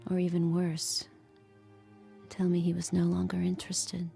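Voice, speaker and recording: Audio drama lead: guarded, fearful handmaid, hushed and gravelly, confiding. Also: female voice, on the low side, completely flat delivery, moderate pace, faint music behind. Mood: intimate, tense. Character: fearful, guarded captive handmaid telling her story. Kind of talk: audio drama acting and narration.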